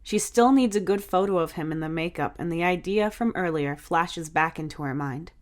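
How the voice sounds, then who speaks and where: A woman's voice: fairly low pitch, moderate pace. A woman reading scripted fiction aloud as an audiobook narrator.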